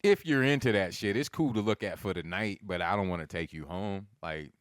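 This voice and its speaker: Low, smooth-voiced animated man. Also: fairly quick, no room sound, clean studio sound. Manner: animated, swearing, voice jumps up when excited. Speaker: podcast co-host. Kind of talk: casual chat.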